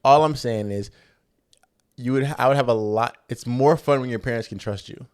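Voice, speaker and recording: A man's voice: mid-range voice, moderate pace, smooth. A man in his early twenties co-hosting a podcast, chatting casually in informal, slangy language. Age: early 20s. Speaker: podcast co-host. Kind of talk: casual chat.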